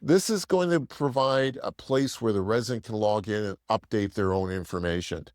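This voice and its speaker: A man's voice: on the low side, moderate pace, dry recording, clean studio sound. A man presenting a casual product demo in a plain-spoken, explanatory, conversational way.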